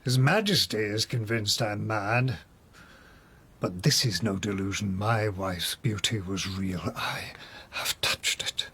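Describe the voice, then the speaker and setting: A man's voice: on the low side, takes it slowly, bright, forceful tone, slightly rough, heavily acted. An actor playing a deluded, drink-loving nobleman, musing, wistful and evasive in a radio drama. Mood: dreamy, defiant.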